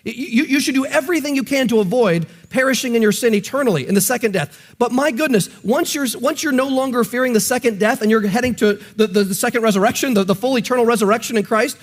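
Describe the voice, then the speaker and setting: A man with a notably high voice speaks as a Bible teacher. His delivery is conversational but emphatic, moving between explanation and reading aloud. He often repeats key phrases to press each point. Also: quick pace, hardly any pauses. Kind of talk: conversational Bible teaching with read-out scripture and quotations.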